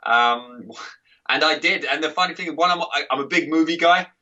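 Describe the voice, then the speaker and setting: A man's voice: on the high side, moderate pace, sharp tone, far from the mic, muffled recording. A man with an English accent, a podcast guest and author chatting casually, rambling with restarts and laughing often. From England. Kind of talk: casual interview chat.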